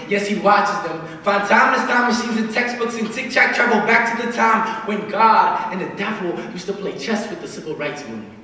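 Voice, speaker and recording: A man's voice: high voice, pushed and bright. A man in his early twenties performing spoken word poetry with an emphatic, rhythmic delivery and dramatic swells. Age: early 20s. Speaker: student spoken word poet. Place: event room at a live reading. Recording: very echoey hall, distant mic, faint noise.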